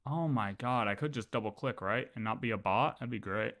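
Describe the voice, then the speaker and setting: A game streamer reacting casually on a livestream, talking in a halting, argumentative mutter. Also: mid-range voice, fairly bright tone.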